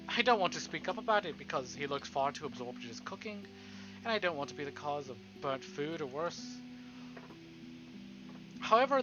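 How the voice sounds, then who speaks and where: Higher-pitched male streamer, theatrical read-aloud, playful, slightly dull sound, faint guitar music behind. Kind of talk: dramatic read-aloud of a visual novel with casual asides.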